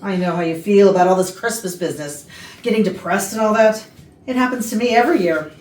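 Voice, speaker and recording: A woman's voice: mid-range voice, quick pace, a little mellow, close to an everyday voice. A woman acting a brusque, ambitious, scheming executive with emphatic delivery in a comedy staged reading. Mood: knowing. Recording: noisy roomy rehearsal, far from mic.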